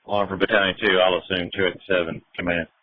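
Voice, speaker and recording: A low-pitched man speaks as a fire incident commander over a two-way radio. The sound is narrowband and muffled, with a dry close feel, and his calls are short and procedural. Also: flat delivery, fast talker, dry recording. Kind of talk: emergency radio traffic, terse procedural calls. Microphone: radio handset.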